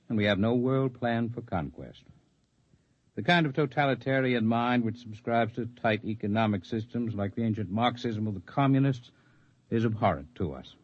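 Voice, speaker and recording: An actor playing a composed, articulate leader of an underground freedom movement in 1950s radio drama style, speaking in measured, formal, persuasive speeches. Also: male voice, mid-range voice, no echo, natural voice. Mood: earnest, idealistic. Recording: dull lo-fi vintage radio recording.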